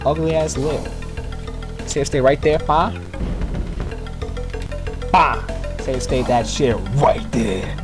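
A man's voice: mid-range voice, slow pace, pauses often, music in the background. A man giving casual, profane game commentary in a slangy, rambling, complaining way. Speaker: gamer making a playthrough video.

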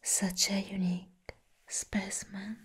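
A woman playing a seductive, sinister plant villainess in a breathy whisper, as soft-spoken ASMR roleplay, slow and deliberate. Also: mid-range voice, little pitch movement. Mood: doting, pleased.

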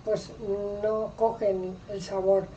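A woman's voice: medium pitch, level tone, slow, dark tone, recorded from a distance, a little hiss or hum, poor audio. A woman with an accent from Spain teaching a recipe in a home kitchen, patient and homely, using lots of diminutives. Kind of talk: casual cooking instruction.

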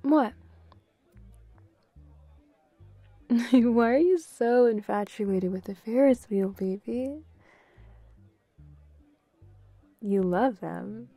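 A woman voicing a bubbly, playful, affectionate young girlfriend in an intimate audio roleplay, with a giggly, breathy, coaxing manner. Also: fairly high pitch, slow, warm tone, no room sound, soft background music. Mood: tender teasing.

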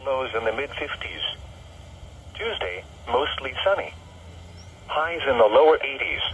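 A man's voice: medium pitch, expressive, measured pace, fairly bright tone. A man reading a scripted weather forecast, heard through a small radio speaker. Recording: small radio speaker, distant, faint noise.

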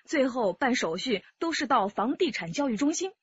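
An actress playing a bold, chatty wife in a radio drama, with a breezy, coaxing manner. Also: female voice, high-pitched, sing-song, moderate pace, slightly rough, no echo, highs cut off, full character voice. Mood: bold, dismissive. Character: bold, chatty wife selling the couple's flat.

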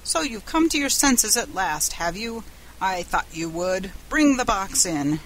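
A woman's voice: medium pitch, big pitch swings, measured pace, a bit bright, poor audio. Lady as blustery pompous gent in a storybook, touchy, faint noise. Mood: triumphant. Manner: pompous, exclamatory. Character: blustering, short-tempered gentleman customer.

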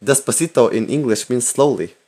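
Deadpan man trolling in game chat. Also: medium pitch, a little fast. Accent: put-on Russian. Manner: mock-indignant, deadpan demanding. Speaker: prank-style player in voice chat.

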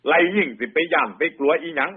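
A man's voice: on the high side, quick pace, slightly rough, narrow, tinny audio. A man voicing a frightened tiger in a folk fable, stammering, pleading and wailing with an Isan accent. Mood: panicked. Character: frightened panicky tiger fleeing an imagined monster.

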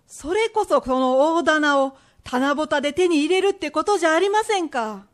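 An indignant merchant's wife of old Japan, played by a woman in a period radio drama. Her voice is high, and her speech is sharp-tongued, old-fashioned and fiercely protective. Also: lively intonation, normal speed.

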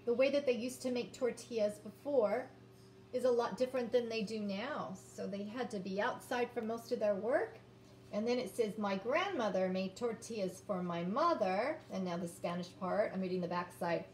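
A woman in her fifties teaching young children, sounding out words and reading aloud in a deliberate, encouraging way. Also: mid-range voice, a little mellow. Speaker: early-grades teacher. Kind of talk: children's phonics lesson and read-aloud. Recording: roomy, distant mic, background noise.

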